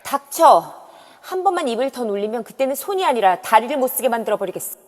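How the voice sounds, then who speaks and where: A woman's voice: on the high side, big pitch swings, fast. Brief: cold, threatening female cop, played by a woman, audio drama. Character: tough female police officer. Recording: long reverb.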